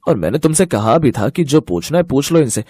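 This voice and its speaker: A man's voice: medium pitch, big pitch swings, brisk, a little mellow, husky. A man voicing a confident, protective young husband and brother with a matter-of-fact manner in a cartoon story. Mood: reproving.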